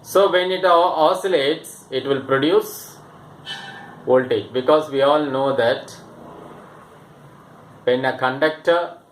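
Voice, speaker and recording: A male physics teacher explains a demonstration at a slow, halting pace, pausing and repeating phrases as he goes. His voice sits at an average pitch. The room is clearly echoey, with faint noise underneath.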